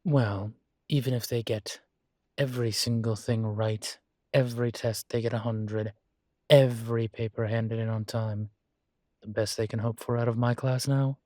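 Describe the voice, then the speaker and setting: A man acting as a composed, courteous college professor in an intimate audio roleplay, speaking with measured, formal politeness and dry wit. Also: mid-range voice, fairly even intonation, warm tone, no room sound, close to an everyday voice. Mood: dry, matter-of-fact. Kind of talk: intimate scripted one-sided roleplay dialogue.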